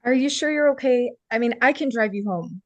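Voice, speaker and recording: A woman's voice: on the high side, expressive, fairly quick, no echo, dull audio, lightly acted. Woman playing earnest homecoming designer, dry sound. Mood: warm, grateful. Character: warm, earnest female costume designer back in her hometown. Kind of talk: screenplay table read.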